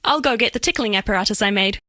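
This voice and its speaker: A woman's voice: mid-range voice, fast, pushed and bright, no room sound, clean studio sound, natural voice. A woman voicing a bashful, flirtatious female alien made of living diamond in a video game, speaking in precise, oddly formal, earnest phrases. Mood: affectionate, matter-of-fact. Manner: precise, stilted formal diction, earnest, coyly playful. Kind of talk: scripted game character voice lines.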